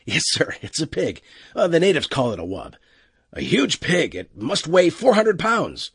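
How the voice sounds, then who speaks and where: A man plays an anxious, deferential crewman in a science fiction story. The voice is pitched up and lively, apologetic and keen to explain. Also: unhurried, husky, no room sound, studio quality, exaggerated voice. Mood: nervous, apologetic. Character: nervous, deferential young crewman.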